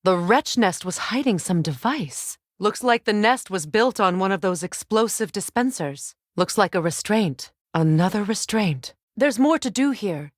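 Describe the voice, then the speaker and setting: Stern warrior goddess, middle-aged, mid-pitched, measured formal diction, dramatic game acting, dry close sound. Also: female voice, expressive, no room sound. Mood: composed, observant.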